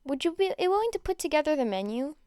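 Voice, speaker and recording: A woman voicing a poised, upbeat teenage girl who speaks politely and briskly, organizing others in an audio story. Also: high voice, big pitch swings, mellow, no room sound, studio quality, pitched above the performer's own voice. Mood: cheerful.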